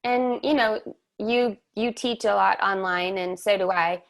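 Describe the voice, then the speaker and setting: A woman hosting a webinar, speaking in a slow, measured, reflective way over a video call. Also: mid-range voice, creaky. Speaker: webinar host and somatic teacher. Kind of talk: reflective guided conversation.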